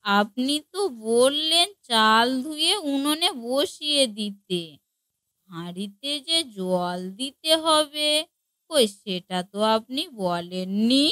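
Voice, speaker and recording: A slow, fairly high voice of a naive young wife acted by a woman in a comedy cartoon, recorded dry. She sounds innocent and clueless, hesitating as a dim but sincere daughter-in-law. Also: expressive, pushed and bright, no room sound, exaggerated voice. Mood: defensive. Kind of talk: Bengali comedy cartoon dialogue.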